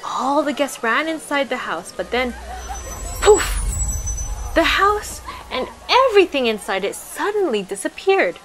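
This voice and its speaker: A woman reading a storybook aloud to children in an expressive, explanatory manner. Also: sits high, unhurried, poor audio.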